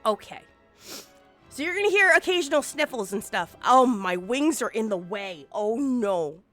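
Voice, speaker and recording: Bubbly congested woman streamer, lively pitch. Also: normal speed, faint music behind. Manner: bubbly, playful, chatty with viewers. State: nasal congestion, sniffly. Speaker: VTuber game streamer. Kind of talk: casual game streaming chat.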